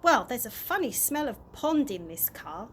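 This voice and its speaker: A woman's voice: fairly high pitch, sing-song, moderate pace, a little hiss or hum. A woman voicing a prim, disapproving mother who corrects people, in a storybook reading.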